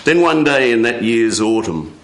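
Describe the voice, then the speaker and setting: A man telling a folk tale live in a measured way, with dramatic pauses. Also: mid-range voice, lively intonation, a bit bright, echoey room, low-quality recording. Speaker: storyteller. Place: radio studio with live audience.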